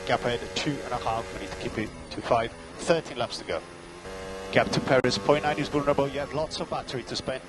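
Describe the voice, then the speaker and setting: A man's voice: medium pitch, fast talker, over music. A man working as a race engineer, giving terse, clipped instructions and data over motor racing team radio. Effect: team radio transmission.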